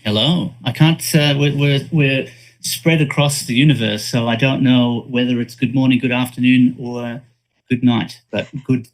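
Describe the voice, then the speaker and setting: A man's voice: medium pitch, steady tone, normal speed, poor audio. A man who is a guest author on a live stream, playful and joking in wordy phrases. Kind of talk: casual live-stream chat.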